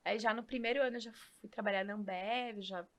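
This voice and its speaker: A woman, telling her career story as a podcast guest in lively casual chat with quick laughs. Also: mid-range voice. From Rio Claro, São Paulo state, Brazil. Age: 40s.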